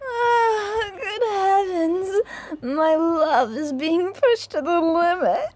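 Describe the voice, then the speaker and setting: A woman voicing a gushing, lovestruck teenage girl with a dark side, in a theatrical fan-dub delivery. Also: very high voice, expressive, very slow, faint music behind, full character voice. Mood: lovesick. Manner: theatrical, swooping and teasing. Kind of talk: fan dub of an anime game.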